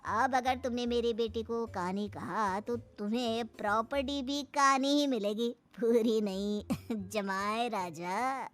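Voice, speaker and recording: A woman's voice: fairly high pitch, slow. A woman voicing a shrewd, mocking father-in-law who threatens and bargains for his daughter in a comic cartoon story. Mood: smug. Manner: taunting, bargaining.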